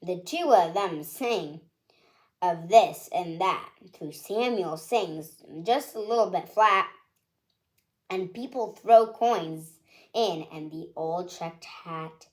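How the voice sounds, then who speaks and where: A woman reading a children's picture book aloud with expressive storytelling, ending with a request to subscribe. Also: fairly low pitch, slow pace.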